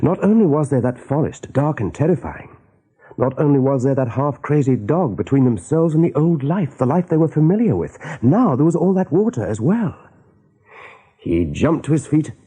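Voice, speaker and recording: A man narrating a radio play as a dramatic storyteller, with a mellow, husky voice. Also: medium pitch, very animated, moderate pace, rough recording.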